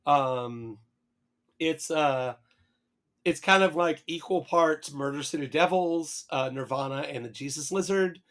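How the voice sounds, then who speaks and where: A hoarse man co-hosting a podcast, chatting casually, swearing and hesitating often. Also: a little high, unhurried, a bit bright. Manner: rambling, swears casually, many fillers and restarts. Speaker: podcast co-host. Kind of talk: casual chat.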